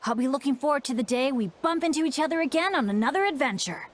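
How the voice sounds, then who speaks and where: A woman playing a youthful, expressive adventurer in scripted fantasy video game dialogue. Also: high voice, fast talker. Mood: warm, reflective.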